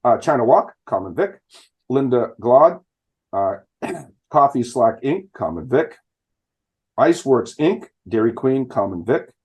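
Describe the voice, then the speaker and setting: A man's voice: medium pitch, measured pace. Stuffed-up man reading a license list. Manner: reads names in a flat list with fumbles and restarts. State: stuffed up from illness. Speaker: select board member.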